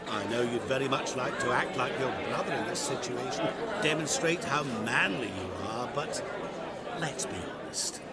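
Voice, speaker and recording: Brief: actor as knowing, ominous merchant; smooth, mocking, a noisy festive crowd behind. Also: male voice, medium pitch, lightly acted. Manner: smooth, knowing, teasing, slowly savoring words. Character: sly ageless male mirror merchant, ominous devil. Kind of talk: video game cutscene acting.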